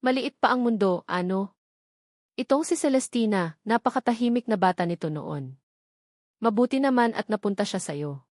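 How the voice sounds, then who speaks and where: A woman voicing a smooth-talking, controlling rich man who puts on a cheerful, friendly act in a storybook reading. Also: medium pitch, animated, normal speed, a little mellow, dry recording, clean studio sound, lightly acted. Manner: breezy, chatty, overly friendly.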